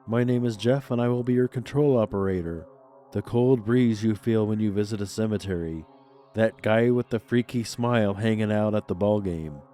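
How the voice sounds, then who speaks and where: Male podcast host, congested and nasal from a cold, mellow voice, theatrical spooky narration. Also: on the low side, moderate pace, faint music behind. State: stuffed up, congested nose. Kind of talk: scripted paranormal podcast narration.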